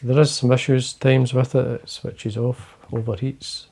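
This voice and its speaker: An older Scottish man with a low, quiet voice, gravelly and mellow in tone. He chats casually to the camera from his desk, wandering through his points with plenty of fillers. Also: little pitch movement, unhurried. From Scotland. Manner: quiet, rambling, many fillers and restarts. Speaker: fly-fishing video host. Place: indoors, fly-tying desk.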